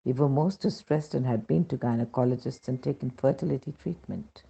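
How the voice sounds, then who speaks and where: A very dark, mellow voice reads a devotional testimony aloud. It is heavily creaky and quite husky, with emphatic, dramatic phrasing that sets each short phrase apart. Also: mid-range voice, lo-fi sound. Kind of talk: scripted narration of a devotional testimony.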